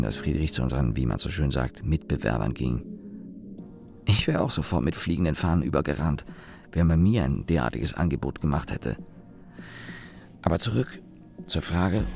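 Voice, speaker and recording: A sardonic young engineer in a radio drama. His voice is very low and gravelly, with lively intonation. He tells his story wryly and deliberately, pausing and correcting himself as he goes. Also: over music, exaggerated voice. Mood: dry, sardonic. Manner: wry, deliberate, with pauses and self-corrections. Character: young engineer, sardonic company employee recalling his father. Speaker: narrator and lead actor. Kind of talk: German radio play monologue, reflective storytelling.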